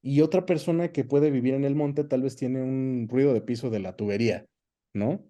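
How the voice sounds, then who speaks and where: A Mexican man with a very dark, mellow voice at a medium pitch. He explains things casually as an interview guest, often tagging his points with a quick check for agreement. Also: fairly even intonation. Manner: explanatory, checks in with tag questions. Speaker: interview guest, voice actor and dubbing director.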